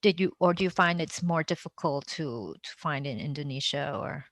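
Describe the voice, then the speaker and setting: Female podcast interviewer, slightly low register, dry room-free sound, casual and tentative, reworks questions mid-sentence. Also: moderate pace, no echo. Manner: tentative, rephrases her questions.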